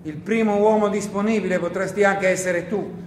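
A man's voice: high voice, steady tone, brisk, natural voice. A man playing a seasoned, dismissive partisan comrade in a theatrical radio play, speaking in a terse, impatient way. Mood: matter-of-fact. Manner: terse, matter-of-fact, impatient. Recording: roomy echo with faint noise.

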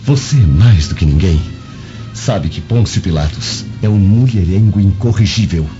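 Scheming Roman suitor, low and insinuating, for a radio play; lo-fi sound with music underneath. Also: male voice, lively intonation, measured pace. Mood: cautioning. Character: scheming lovestruck Roman man, smooth and insinuating. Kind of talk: dramatized audio novel acting. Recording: dull lo-fi radio drama recording.

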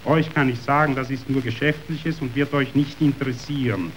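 An actor playing a ruthless yet sentimental meat magnate in a verse radio drama, declamatory, wheedling and self-pitying. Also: male voice, mid-range voice, steady tone, normal speed, close to an everyday voice. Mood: condescending, musing. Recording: dull radio recording, faint noise.

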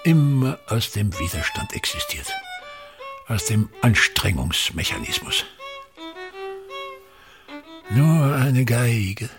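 An actor playing an obsessive, domineering old theatre director in a radio play, with a pompous, declamatory delivery. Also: male voice, mid-range voice, wildly swooping pitch, takes it slowly, pauses often, over music. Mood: disgusted, brooding. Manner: declamatory, pompous, ranting in fits and starts.

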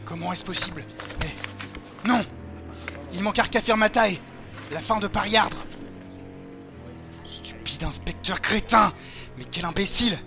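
A fanatical male cult leader for an audio drama. His voice sits high for a man and he declaims with pompous, sermon-like grandeur and a wrathful temper. Music plays clearly behind him. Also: a little slow, long pauses. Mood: furious. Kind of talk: French audio drama acting.

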